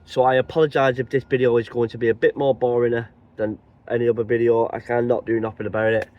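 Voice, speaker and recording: A man with a cold and a croaky voice chats rambling and casually in his truck cab as he drives. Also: medium pitch, flat delivery, fast talker, dull audio. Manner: rambling, chatty, many restarts. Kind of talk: casual vlog chat while driving.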